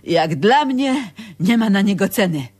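An actress playing a sharp-tongued, gossipy, world-weary working-class wife in a radio drama, with a husky voice. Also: female voice, mid-range voice, lively intonation, measured pace. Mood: weary, wistful. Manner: colloquial, gossipy. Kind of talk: Polish radio drama acting.

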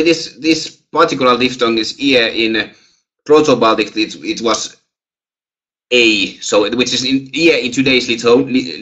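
A young man with a Finnish accent lectures over a video call through a headset. His voice is bright and forward. He pauses a lot, fills gaps with "uh" and "well", and restarts sentences. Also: on the low side, dull audio. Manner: hesitant, many fillers and restarts. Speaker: guest lecturer. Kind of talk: webinar Q&A answers.

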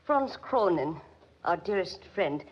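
An actress playing an anxious, gentle German immigrant widow in a 1940s radio drama, speaking with a German accent in halting, pleading, formal phrases. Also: female voice, lowish voice, very animated, breathy edge, heavily acted. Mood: wistful, proud. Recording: muffled vintage 1940s radio recording.

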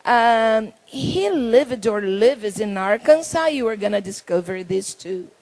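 Slow-talking Brazilian woman host. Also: mid-range voice, lively intonation, darkish tone, rough recording. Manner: halting, reads from notes, laughs often. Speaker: talk show host. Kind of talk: hosting interview in English. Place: indoor talk show stage. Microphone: handheld mic.

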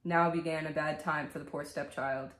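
Low-voiced young woman vlogger. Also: steady tone, normal speed, a bit bright, reverberant, poor audio. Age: 20s. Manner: candid, swears freely, breaks off into asides. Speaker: video host reading a fairy tale aloud. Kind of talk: casual vlog talk and storybook reading. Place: small bedroom, indoors.

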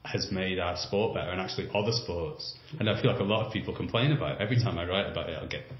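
Very deep-voiced podcast host. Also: male voice, racing, slight background noise. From Britain or Ireland. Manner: long, reasoned run-on points. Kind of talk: podcast interview. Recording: roomy, slightly dull remote audio.

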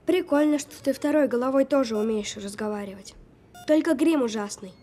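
A woman's voice: high voice, fairly quick, dark tone, soft background music. A woman playing a sceptical, precocious twelve-year-old sick boy with a dry, know-it-all manner in an audio drama. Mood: sarcastic, weary.

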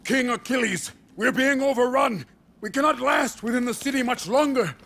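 A man's voice: very high-pitched, normal speed, vocal fry, fairly bright tone, full character voice. A man playing an alarmed, urgent Greek soldier in a video game, calling to his king in panic. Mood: panicked. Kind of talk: dramatic video game acting.

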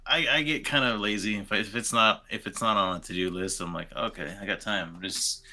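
A man's voice: lowish voice, brisk, pushed and bright. A man in his thirties from Texas, chatting casually as a podcast guest. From Texas, United States. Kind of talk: casual chat. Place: home room with bookshelves, video call.

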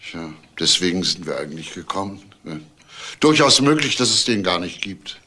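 An actor playing a probing, unhurried police detective in a crime radio drama. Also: male voice, sits low, big pitch swings, sharp tone, exaggerated voice. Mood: probing, measured. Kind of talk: naturalistic crime drama dialogue.

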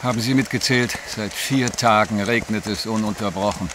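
An actor playing a grumbling, mocking French reporter in an audio drama, teasing in manner. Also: male voice, lowish voice, moderate pace, natural voice. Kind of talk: German radio play acting. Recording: noisy rain backdrop.

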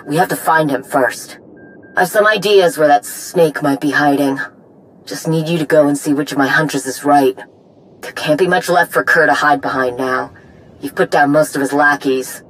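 A woman playing a tough, loyal young warrior in a video game, blunt and earnest, with a slightly husky voice. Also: low voice, a little hiss or hum, natural voice, poor audio. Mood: determined. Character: tough, vengeful yet loyal armored Mandalorian warrior woman. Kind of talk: video game cutscene acting.

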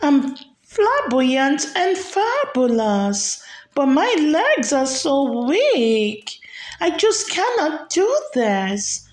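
A woman's voice: sits high, sing-song, very slow, reverberant, soft background music, voice pushed up, full character voice. A woman voicing a flamboyant young female flamingo in a singsong, theatrical storybook manner. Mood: upset.

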